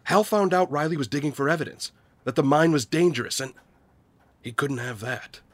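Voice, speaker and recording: An actor playing a weary, guilt-ridden small-town loner in a naturalistic audio drama, husky and hesitant, confessing haltingly. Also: male voice, a little high, no room sound, close to an everyday voice. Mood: reluctant confessing.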